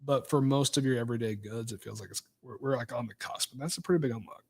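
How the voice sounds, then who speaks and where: Fast-talking, hesitant male co-host. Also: medium pitch, gravelly, slightly rough. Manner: hesitant, many restarts and likes. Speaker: podcast co-host. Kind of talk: casual chat.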